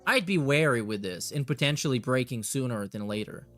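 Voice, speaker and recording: A man's voice: mid-range voice, lively intonation, brisk, a bit bright, soft background music. A man hosting a reaction show in a casual, blunt, sardonic way, reading messages aloud and swearing as he comments.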